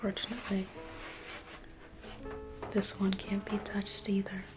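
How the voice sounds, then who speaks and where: A woman in an ASMR roleplay, playing a private museum guide in a slow, coaxing whisper that repeats phrases. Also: completely flat delivery, over music. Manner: coaxing sales-pitch tone.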